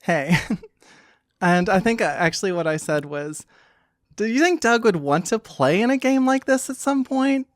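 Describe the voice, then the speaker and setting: Female game master, casual conversational delivery, mid-pitched, very dry recording. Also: expressive, normal speed, no echo. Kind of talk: casual podcast discussion.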